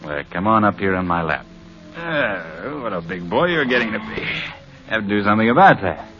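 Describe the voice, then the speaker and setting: A man's voice: fairly low pitch, very animated, moderate pace. An actor playing a troubled, tender father in an old-time radio drama. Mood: gently affectionate. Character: troubled, tender father abandoned by his wife. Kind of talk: 1940s-style radio drama acting. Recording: dull, noisy old radio recording.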